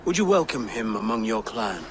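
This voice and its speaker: A man's voice: lowish voice, big pitch swings, normal speed, background noise. A middle-aged actor playing a solemn new king and father speaking formally. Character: newly crowned king, grave father.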